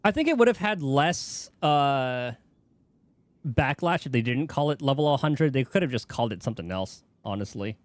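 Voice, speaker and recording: A man's voice: mid-range voice, lively intonation, normal speed, no echo. A man chatting casually on a game livestream, rambling and thinking aloud as he goes. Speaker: game streamer. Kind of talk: casual livestream chat while gaming.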